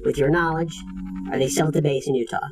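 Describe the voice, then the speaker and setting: A man's voice: fairly high pitch, steady tone, fast talker, over music. A man acting as interviewer, putting probing questions to someone.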